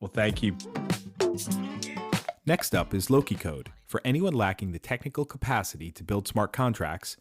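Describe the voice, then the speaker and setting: A man's voice: fairly low pitch, animated, moderate pace, loud music behind the voice. A man hosting and reading scripted introductions of guests on a podcast. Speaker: host introducing startup founders.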